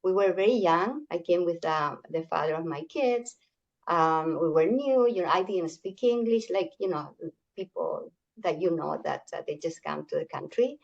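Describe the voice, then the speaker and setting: Older Chilean woman speaking haltingly. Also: lowish voice, expressive, creaky, lo-fi sound. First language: Spanish. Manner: halting, many fillers and restarts. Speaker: workshop speaker. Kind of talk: community talk on an online call.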